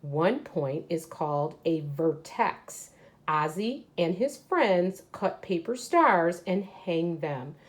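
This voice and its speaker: A woman's voice: fairly low pitch, lively intonation, takes it slowly. A woman teacher reading a children's educational book aloud and explaining it to young pupils as she goes. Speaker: third grade teacher.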